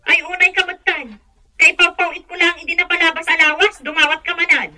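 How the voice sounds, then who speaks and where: Piercingly bright, scolding wife, Ilocano-accented. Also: female voice, extremely high pitch, flat delivery, brisk, faint music behind, exaggerated voice. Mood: exasperated. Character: exasperated, scolding wife working abroad. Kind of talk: Ilocano radio drama acting.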